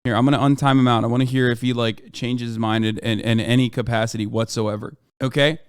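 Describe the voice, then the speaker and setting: A man's voice: medium pitch, steady tone, quick pace, smooth, no room sound, studio quality. A man streaming live, giving blunt, emphatic commentary and lecturing his chat, often checking in with "okay?". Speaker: livestreamer reacting to chat. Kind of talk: heated livestream commentary.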